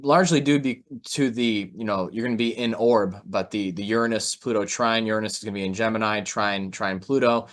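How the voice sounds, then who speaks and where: A man's voice: lowish voice, a little fast, few pauses. A man speaking casually as a podcast host and analyst, agreeable and conversational in manner. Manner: agreeable, frequent short affirmations.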